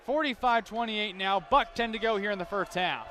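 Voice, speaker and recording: A man's voice: high-pitched, moderate pace. An American man doing live basketball play-by-play as an announcer, describing the action in running detail from courtside in a big arena. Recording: loud crowd and arena noise behind.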